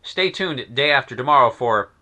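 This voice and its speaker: A man's voice: mid-range voice, lively intonation, normal speed, pushed and bright. A man reading a scripted review as host, with a theatrical, emphatic delivery. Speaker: reviewer and host reading his own column. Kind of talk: scripted commentary on science fiction magazines.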